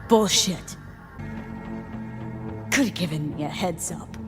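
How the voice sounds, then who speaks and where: A woman's voice: lowish voice, takes it slowly. An actress playing a tough, defiant, distrustful female mercenary in a video game, speaking in blunt, clipped slang with swearing. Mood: angry. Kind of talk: dramatic video game dialogue. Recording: music behind the voice.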